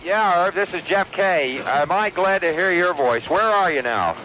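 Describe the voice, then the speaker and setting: A man's voice: sits high, expressive. Weary radio newsman, noisy broadcast. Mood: weary, tense. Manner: hesitant, halting delivery with repeated phrases. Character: weary, anxious radio newsman in the studio during an invasion. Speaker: news anchor. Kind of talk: radio drama newscast, ad-libbed sounding.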